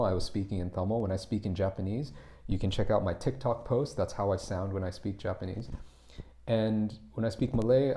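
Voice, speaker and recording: A man's voice: fairly low pitch, mellow. A man with a near-American English accent, coaching language learners in a casual talk to camera, chatty and prone to restarting his sentences. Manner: conversational, chatty, with frequent restarts.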